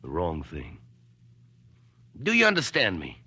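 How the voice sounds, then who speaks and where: A man's voice: low-pitched, huge pitch swings, measured pace, pushed and bright, slightly rough, full character voice. An actor playing a solemn, admonishing man in a 1940s radio drama. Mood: grave.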